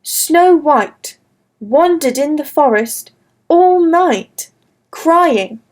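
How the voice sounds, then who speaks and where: A woman narrates a classic fairy tale for children in a slow, careful, gentle reading. Her voice sits high and the pace stays unhurried throughout. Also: expressive. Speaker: storyteller. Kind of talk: scripted children's story narration.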